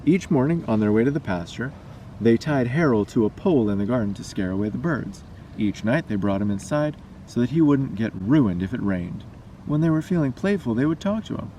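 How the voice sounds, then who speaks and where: A man's voice: medium pitch, lively intonation, brisk, warm tone. A man reading a scary story aloud as a storyteller. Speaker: storyteller and vlogger. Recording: distant mic, noisy background.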